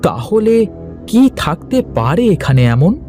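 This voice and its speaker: A man voicing an earnest, determined young village woodcutter in a cartoon, excitable and repeating his words. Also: sits high, slow, over music, full character voice. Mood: tense, determined. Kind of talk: cartoon voice acting.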